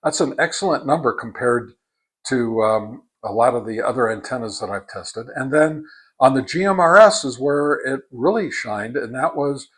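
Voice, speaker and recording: Halting man giving a casual product review. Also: medium pitch, lively intonation, husky. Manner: halting, deliberate, repeats words for emphasis. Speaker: product reviewer and ham radio hobbyist.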